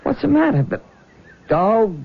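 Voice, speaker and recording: An actor playing an eager, nervous young army recruit in love, halting and earnest, in 1970s radio drama style. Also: male voice, sits high, sing-song, creaky, a little hiss or hum, exaggerated voice. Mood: eager, tender. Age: young adult. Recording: dull old radio recording.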